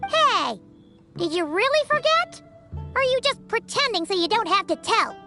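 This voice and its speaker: A woman voicing a tiny, childlike female game companion, excitable and nosy, with a squeaky voice and eager, blunt delivery. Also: moderate pace, full character voice. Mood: puzzled, pestering. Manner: exclamatory, eager, blunt questioning. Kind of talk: video game voice acting. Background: background music.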